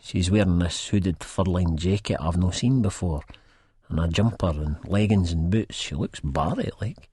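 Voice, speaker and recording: An actor playing a rambling, good-hearted, drug-addled man from Leith with a husky Edinburgh accent, telling his story in an audiobook. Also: deep voice, normal speed, dark tone, dry recording, clean studio sound. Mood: wistful, rambling. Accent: Edinburgh Scottish. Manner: colloquial, rambling, peppered with "man". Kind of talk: first-person audiobook narration, acted in character.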